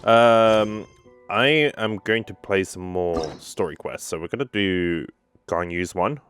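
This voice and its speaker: A woman's voice: very deep voice, takes it slowly, clear, resonant tone, no room sound, faint music behind. A woman giving casual let's-play commentary as a gaming streamer.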